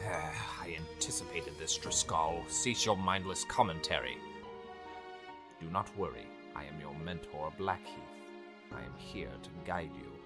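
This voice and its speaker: A man playing a grave, formal high cleric and mentor in a dark fantasy visual novel, with measured delivery. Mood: stern, guiding. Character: male vampire high cleric, grave mentor, deferential servant. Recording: music behind the voice.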